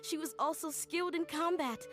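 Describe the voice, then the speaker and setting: A woman's voice: high-pitched, moderate pace, lightly acted. An actress voicing an earnest, wistful woman in a story-driven video game. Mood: groggy, reflective. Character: earnest, wistful adult woman recalling her childhood tutor. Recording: music behind the voice.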